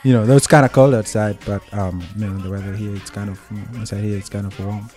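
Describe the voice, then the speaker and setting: A man's voice: on the low side, steady tone, fast, warm tone. Playful young man from Kenya, music behind. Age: young adult. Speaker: student guest on a radio show. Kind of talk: casual interview chat.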